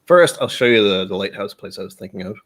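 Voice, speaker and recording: Low jokey guy hosting a whiskey stream, voice bouncing all over, rambles and pokes fun at himself. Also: lively intonation, fast talker. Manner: jokey, rambling, self-deprecating. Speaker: host of a whiskey tasting livestream. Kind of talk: casual chat.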